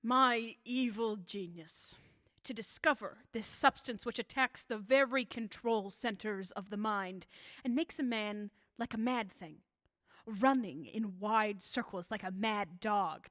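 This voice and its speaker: A woman acting in a radio drama as a bitter old scientist who invents deadly substances, with deliberate, formal, theatrical delivery. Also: mid-range voice, fairly bright tone, no echo, studio quality, close to an everyday voice.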